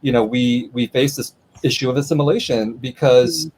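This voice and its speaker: A man's voice: medium pitch, moderate pace, a little mellow, husky, low-quality recording. A man moderating a livestreamed author conversation by video call, talking thoughtfully with frequent restarts and repeated words.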